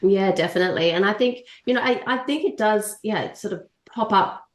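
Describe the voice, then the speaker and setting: A woman co-hosting a podcast, her voice husky from a mild cold, chatting casually and correcting herself mid-sentence. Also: mid-range voice, brisk. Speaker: podcast co-host. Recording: roomy echo.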